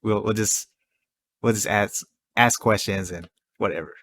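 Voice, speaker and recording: Male podcast host, easygoing, lowish voice, headset, reads the chat. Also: measured pace, a bit bright, no echo, studio quality. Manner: laid-back, laughs along with the guest. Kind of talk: casual podcast hosting.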